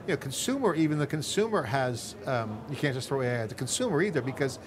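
A man's voice: medium pitch, sing-song, fast, a crowd in the background. A middle-aged man hosting a tech interview on a studio set, talking loosely and thinking aloud with restarts. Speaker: host. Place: studio set on a stock exchange floor. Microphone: lapel mic. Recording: clear background ambience.

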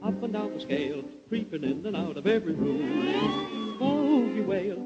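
Guy singing old timey spooky comic song, lofi dull sound, loud music. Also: high voice, huge pitch swings, unhurried.

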